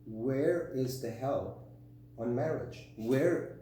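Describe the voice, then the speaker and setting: A man heard in a very reverberant room, some way from the mic, with a faint hum. His tone is dark and relaxed. He talks like a podcast co-host, frank and emphatic about his opinions. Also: mid-range voice, takes it slowly, distant mic. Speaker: podcast co-host and relationship coach. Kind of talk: conversational podcast discussion.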